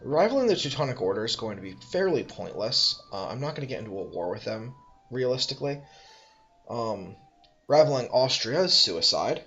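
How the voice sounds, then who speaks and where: A man's voice: mid-range voice, very animated, a little fast, pushed and bright, highs cut off, soft background music. A man thinking aloud as he plays a strategy game, musing, correcting himself and making dry asides. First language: English. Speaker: let's play host.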